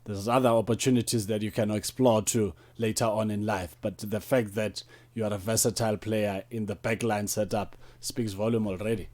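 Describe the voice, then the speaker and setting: A man's voice: fairly low pitch, level tone, moderate pace, darkish tone. A man with a South African accent interviewing as a radio sports host, in wordy, formal phrasing.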